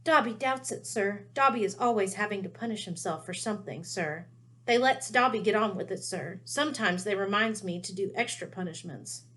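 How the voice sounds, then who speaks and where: A woman voicing a small, servile, fearful house elf with a squeaky, pleading voice in a storybook reading. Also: animated, normal speed, a bit bright, close to an everyday voice. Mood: anxious, servile. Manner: pleading, refers to self in third person.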